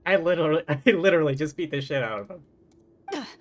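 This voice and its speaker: A woman's voice: sits low, expressive, a little fast. A woman game streamer giving casual, playful, reactive livestream commentary. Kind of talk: casual livestream commentary, reading along and swearing.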